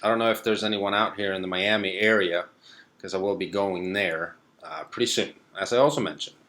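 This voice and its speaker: A young man from Sweden hosting a live stream, casually reading viewer comments and answering them in a relaxed, rambling way with plenty of fillers. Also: deep voice, brisk.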